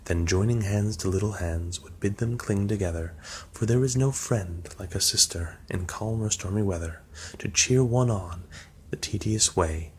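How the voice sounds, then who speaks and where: A man reads a long poem aloud in a quiet, measured ASMR manner. His voice sits very low and stays calm and hushed throughout. A faint hiss runs beneath the reading. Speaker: ASMR narrator. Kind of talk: soft-spoken ASMR poetry reading.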